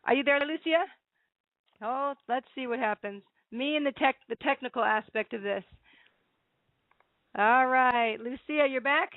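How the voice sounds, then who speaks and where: A woman's voice: sits high, measured pace, long pauses, no echo. A woman hosting a boxing radio show, chatty and upbeat as she interviews guests. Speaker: host. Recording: dry, phone-like lo-fi sound.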